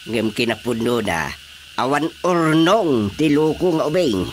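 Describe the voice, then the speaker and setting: An easygoing old village husband chats in a radio drama manner. He has a middle-pitched voice, with faint insect ambience behind him. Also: very animated, measured pace, slight background noise. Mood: amused.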